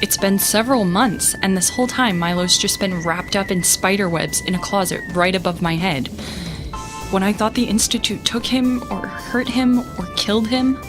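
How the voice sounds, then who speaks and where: A woman's voice: medium pitch, normal speed, close to an everyday voice. Woman acting exasperated young space captain, music behind. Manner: sarcastic, bickering, conversational. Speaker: narrator and lead character. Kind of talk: audio drama narration addressed to listeners.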